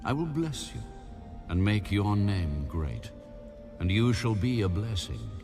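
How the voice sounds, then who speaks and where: Male actor as solemn divine figure, deep voice, widely swooping intonation, weighty scripture delivery, audio Bible drama, music underneath. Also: big pitch swings, a little slow. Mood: solemn, commanding.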